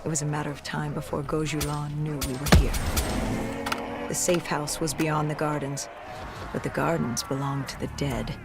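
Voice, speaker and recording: A woman's voice: low voice, level tone, loud music behind the voice. Game cutscene narrator: grim, war-worn female soldier, grave and terse, haunted, prominent score behind. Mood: grim, hardened. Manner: grave, terse, measured storytelling. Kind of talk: dramatic scripted game narration.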